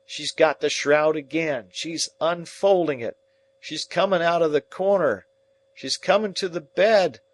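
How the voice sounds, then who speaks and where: A man's voice: mid-range voice, lively intonation, a little slow, voice pushed up, lightly acted. A man voicing a delirious, terrified man who pleads and screams at a ghost he believes he sees. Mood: terrified raving.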